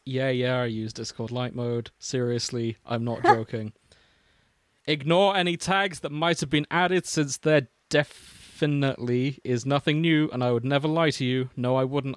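A young man co-hosting a podcast, reading fan fiction aloud with deadpan, mocking asides. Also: medium pitch, dry recording. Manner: wry, deadpan, dips into mocking quotes. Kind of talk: casual chat and comic dramatic reading.

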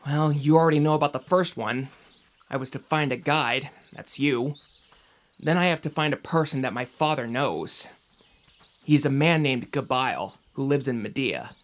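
Guy playing a young man in an audio drama, kinda doubtful, earnest, conversational, medium pitch. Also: normal speed, natural voice. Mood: somber, explaining.

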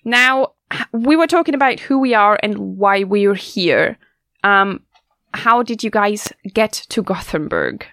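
A woman in her twenties from Germany, hosting a casual student radio chat, talking fast and loose with frequent laughs and restarts. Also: mid-range voice, animated, fairly bright tone, no echo, clean studio sound. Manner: chatty, laughs often, restarts sentences.

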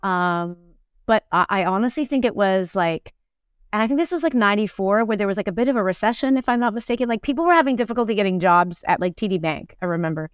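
A middle-aged woman chats as an interview guest. Her voice is medium-pitched, clean and resonant, recorded with very little room sound. She tells stories in a loose, animated, self-deprecating way, often quoting people as she goes. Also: quick pace, clean tone, no echo, studio quality. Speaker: interview guest, musical theatre performer and teacher. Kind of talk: casual conversational storytelling.

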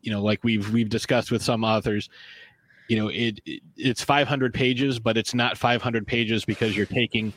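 A man's voice: fairly low pitch, steady tone, normal speed, a bit bright, dry recording, rough recording. A man hosting a book interview podcast in a casual, rambling way, full of fillers. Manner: rambling, lots of fillers and restarts. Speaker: podcast host.

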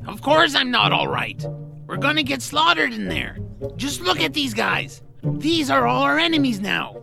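Man acting a creaky, high-pitched anxious troll. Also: very animated, normal speed, full character voice. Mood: anxious grumbling. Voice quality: gravelly, creaky. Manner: fretful, grumbling. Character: anxious, cowardly, complaining male troll. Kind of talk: audio drama character acting. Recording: music behind the voice.

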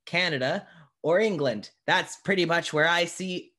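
A man hosting a podcast interview in a casual, informal way, with halting phrasing and many asides. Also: a little high, sharp tone.

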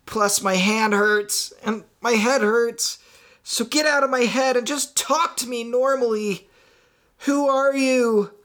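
A man voicing a hot-headed, exasperated boy hero in a read-aloud adventure story, loudly demanding answers. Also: very high voice, slow, voice pushed up, full character voice. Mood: angry, exasperated. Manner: yelling, exasperated.

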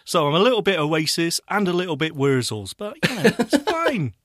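Middle-aged guy, slight bristol twang, bouncy lively voice, dry close mic. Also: on the high side, dry recording. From Bristol, England. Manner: bantering, quick to tease. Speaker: podcast co-host. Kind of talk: casual chat.

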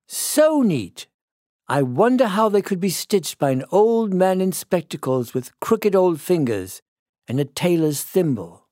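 Old-fashioned British storybook narrator, played by a man: lively, higher-pitched, theatrical, gentle wonder. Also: no room sound, clean studio sound. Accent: British, put on. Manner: theatrical, savouring, drawn-out emphasis. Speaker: storyteller reading a children's tale. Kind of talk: expressive classic storybook narration.

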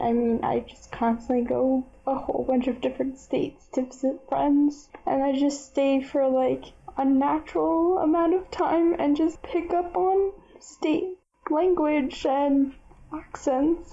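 A young woman with a blended New York and Boston accent, talking slowly in a high but mellow voice. Her speech is drawn out and earnest. The sound is a little dull and lo-fi. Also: level tone. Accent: New York and Boston mix. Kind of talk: casual chat.

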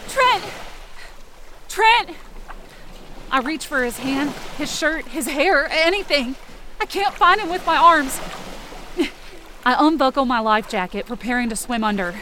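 A high-pitched, urgent young woman tells her story in a dramatic podcast. She is panicked and intense, ready to break into a cry, with noisy sound effects behind her. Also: animated, measured pace, long pauses, bright, forceful tone, exaggerated voice. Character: panicked young woman narrating a desperate lake rescue. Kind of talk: first-person dramatic narration in fiction podcast.

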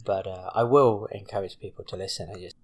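A man's voice: sits low, steady tone, darkish tone. British man, accent worn by US years. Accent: British English softened by years in the US. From Britain. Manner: self-deprecating, halting with fillers. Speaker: podcast co-host. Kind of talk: casual chat.

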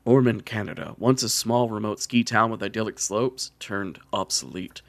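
A man's voice: lowish voice, normal speed, creaky, no echo. Wry man hosting, very dry close sound. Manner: wry, sweary, joking. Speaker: podcast host. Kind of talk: casual podcast chat.

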